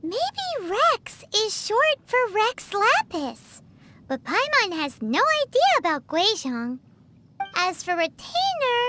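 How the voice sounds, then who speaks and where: Childlike video game fairy sidekick, squeaky, curious, exclamatory, lively swooping pitch, unhurried pace. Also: female voice, slight background noise, exaggerated voice. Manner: refers to herself in the third person, exclamatory. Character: tiny chirpy childlike fairy companion girl, curious and cheeky. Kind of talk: video game character dialogue.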